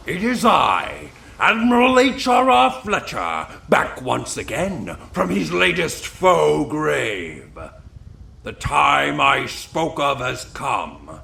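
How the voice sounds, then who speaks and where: Pompous, swooping admiral villain. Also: male voice, a little high, vocal fry, sharp tone, exaggerated voice. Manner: theatrical, bombastic oratory. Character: pompous, grandiose admiral villain rallying his followers. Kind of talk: audio comedy drama acting.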